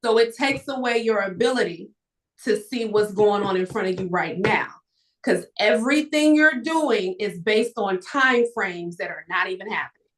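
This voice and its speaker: A woman talks as a motivational guest on a live stream. Her delivery is emphatic and animated, projecting clearly like a storyteller, at a middling pitch. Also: normal speed, rough recording. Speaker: guest author and entrepreneur.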